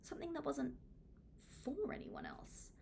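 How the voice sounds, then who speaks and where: A woman from Hong Kong reading a book aloud in an expressive, informal, self-deprecating way, like a read-along. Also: sits high, a little slow, gravelly, a little hiss or hum. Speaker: author reading their own book aloud. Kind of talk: audiobook read-along with casual asides.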